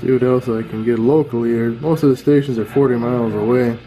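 A man casually showing off and explaining a restored old radio, unhurried, with fillers. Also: medium pitch, steady tone, warm tone, dull audio. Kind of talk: casual show-and-tell.

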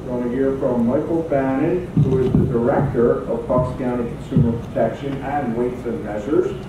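Man, middle-aged, panel moderator. Friendly and halting. Very echoey, distant, humming hall. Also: mid-range voice, fairly even intonation, noisy background. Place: church hall.

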